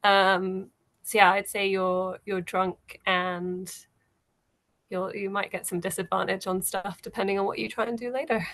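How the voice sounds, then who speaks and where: A woman improvising narration as game master of a tabletop game, in a halting, conversational way. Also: mid-range voice, flat delivery, a bit bright.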